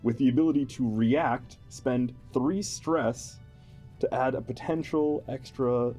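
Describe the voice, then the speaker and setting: A man's voice: medium pitch, a little slow, mellow, faint music behind. An amused, playful man, explaining a tabletop game character build in a chatty style. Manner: amused, playful, chuckling often. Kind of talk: explanatory chat with a co-host.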